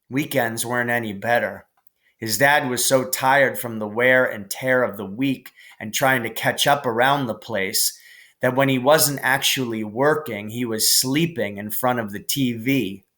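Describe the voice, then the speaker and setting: A man reading a children's novel aloud as scripted narration, in a measured, careful way. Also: medium pitch, fairly bright tone. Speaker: audiobook narrator.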